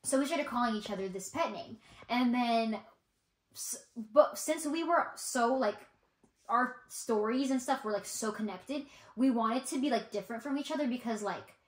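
A woman's voice: on the high side, normal speed. A young American woman chatting casually and telling stories in a rambling, giggly way, with frequent "like". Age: young adult. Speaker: vlogger co-hosting with a friend. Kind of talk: casual chat, storytelling. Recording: roomy indoor echo.

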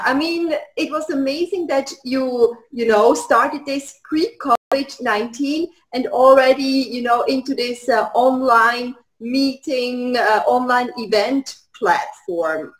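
A woman with a high voice asks questions as a co-host. Her delivery is very slow and conversational, on an indoor video call. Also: poor audio. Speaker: interviewer, co-host. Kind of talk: conversational interviewing.

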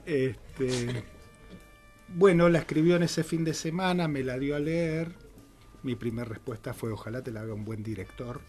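A man's voice: mid-range voice, normal speed, a little mellow. Older male director guest, Argentine accent, hesitant and thoughtful, studio mic, faint music. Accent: Argentine Spanish. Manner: thoughtful, many "este" fillers. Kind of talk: casual radio interview. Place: small radio studio. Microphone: studio mic with headphones.

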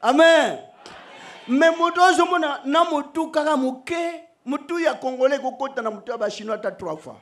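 High-voiced animated preacher man. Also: moderate pace. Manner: animated, joking, switching between shouting and soft asides. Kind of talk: sermon. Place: outdoor church stage under a canopy. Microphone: stand microphone.

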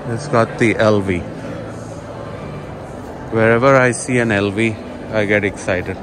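A man giving relaxed, chatty commentary while walking through a large indoor shopping mall, filming on a handheld phone. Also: lowish voice, measured pace, noisy background. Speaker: vlogger. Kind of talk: casual walking vlog narration. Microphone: handheld phone camera.

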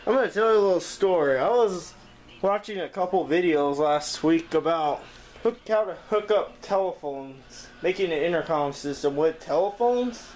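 A man giving an informal hands-on demonstration of a homemade gadget, speaking from behind a handheld camera in a small bedroom. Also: on the high side. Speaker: video creator showing a homemade gadget. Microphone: handheld camera, operator behind it. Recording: noisy handheld camera with background hum.

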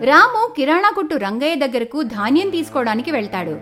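High-pitched, expressive woman storyteller. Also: brisk, soft background music. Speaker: narrator. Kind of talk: expressive scripted moral-story narration.

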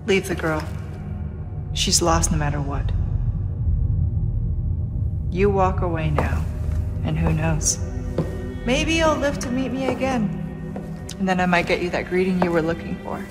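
A woman acts out a poised female secret agent for a video game. She sounds smooth, guarded and slyly teasing. Her voice rings in a long hall reverb with music clearly playing behind it. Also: on the low side, lightly acted. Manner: smooth, sly, unhurried teasing delivery.

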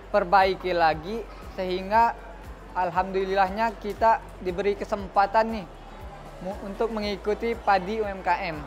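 A woman who runs a small business, giving an interview testimonial. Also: medium pitch.

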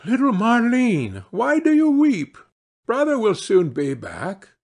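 A kindly but worried storybook father , acted by a man. He is plaintive and questioning, with lively rises in a slightly husky voice. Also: very high-pitched, takes it slowly, voice pushed up, full character voice. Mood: tender.

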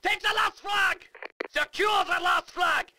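Screaming, high-pitched German army officer. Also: male voice, slow, raised voice, crowd noise, background noise. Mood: fierce, urgent. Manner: barking, emphatic, commanding. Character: fierce fanatical German army officer, barking battle orders. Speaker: game announcer. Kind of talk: shouted military game announcements.

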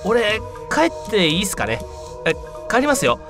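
Man playing a whiny schoolboy with animated pitch. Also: normal speed. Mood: whiny panic. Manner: whiny, casual, sarcastic protests. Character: reluctant, flippant male high-school newcomer. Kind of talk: comedic anime-style voice drama acting. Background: background music.

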